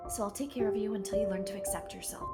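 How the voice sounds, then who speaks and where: A woman playing a calm, devoted spaceship crewmate in a science fiction drama, earnest and soothing in manner. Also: medium pitch, fast talker, over loud music, natural voice. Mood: gentle, reassuring.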